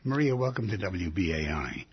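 A man's voice: deep voice, expressive, normal speed, slight background noise. A man hosting a radio interview from the studio, probing and steering his guest with questions. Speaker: radio host. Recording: dull lo-fi radio studio audio.

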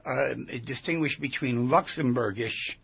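A man with a very husky, gravelly voice hosts a radio interview in a conversational way. He circles back and rephrases his questions as he probes. The audio is somewhat dull and lo-fi. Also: mid-range voice, normal speed, no echo. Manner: probing, repeats and rephrases questions. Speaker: radio show host. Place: radio studio.

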